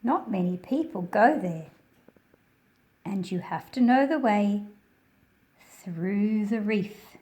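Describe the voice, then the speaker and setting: Slow-paced woman reading to children. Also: medium pitch, lively intonation, darkish tone. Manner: deliberate, simple phrasing addressed to children. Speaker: children's read-aloud host. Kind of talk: slow picture-book read-aloud with friendly asides to young viewers.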